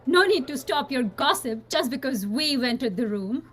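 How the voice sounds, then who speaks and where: A woman's voice: high voice, expressive, moderate pace, fairly bright tone. A woman playing a haughty, sarcastic young aristocratic heiress in a period radio drama.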